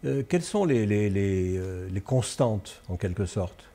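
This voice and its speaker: A male interviewer asking questions in a studio talk show, halting and repeating words as he searches for phrasing, with a French accent when he speaks English. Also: on the low side, expressive, mellow. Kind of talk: podcast interview.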